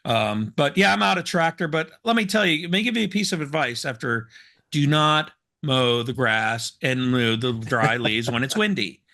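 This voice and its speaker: A man co-hosting a casual talk show, hoarse from smoke, telling rambling self-deprecating stories. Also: mid-range voice, lively intonation, moderate pace, bright, forceful tone. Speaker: co-host. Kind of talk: casual chat, storytelling.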